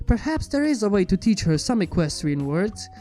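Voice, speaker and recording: A woman's voice: medium pitch, big pitch swings, normal speed, dark tone, natural voice. A woman voicing a studious, earnest pony princess with a precise, formal, inquisitive manner in a fan fiction story reading. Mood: intrigued. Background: background music.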